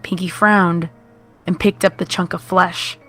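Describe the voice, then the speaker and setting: Woman, fairly low pitch, scripted horror story narration, music in background. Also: fairly even intonation, measured pace. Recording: music playing behind the voice.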